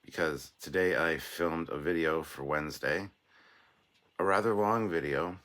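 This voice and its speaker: A man hosting a casual livestream with a sore, hoarse throat, chatting in a rambling way and reading out viewer comments. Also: extremely low pitch, a little slow, fairly bright tone. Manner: rambling and chatty, with restarts and self-deprecating asides. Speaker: livestream host.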